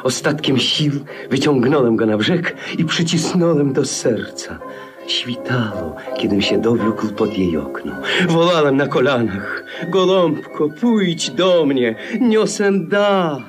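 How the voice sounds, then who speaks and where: A man's voice: mid-range voice, animated, soft background music. An actor playing a lovelorn, melancholy drunken murderer in a 1950s radio play, telling his tale in a theatrical, lyrical manner. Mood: anguished, lyrical. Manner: theatrical, lyrical storytelling with drawn-out phrases. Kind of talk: 1950s radio play acting, poetic monologue.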